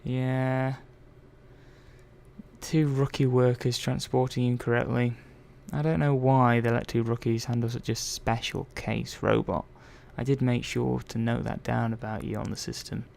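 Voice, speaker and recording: A man's voice: mid-range voice, little pitch movement, a little slow, gravelly, a little mellow. A man playing a chatty, caring robot technician in an ASMR roleplay, talking hesitantly and reassuringly to one listener. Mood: apologetic, rambling. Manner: hesitant, rambling, reassuring, murmured asides. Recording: faint background noise and ambience.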